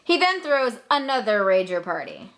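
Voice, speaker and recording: Young female narrator, fairly high and expressive, casual flippant comic storytelling. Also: normal speed.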